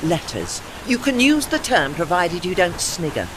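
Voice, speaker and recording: An actor voicing a proud, ageing warship in an audio play, with formal, dry, witty and faintly pompous diction. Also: male voice, high-pitched, huge pitch swings, normal speed, noisy background. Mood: dry, lofty.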